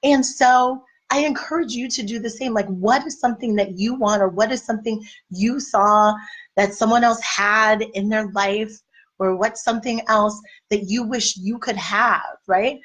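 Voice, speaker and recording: Slightly raspy woman giving encouraging talk. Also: medium pitch, a bit bright, low-quality recording. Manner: conversational, encouraging, faith-based. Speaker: life and marriage coach. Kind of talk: casual motivational talk.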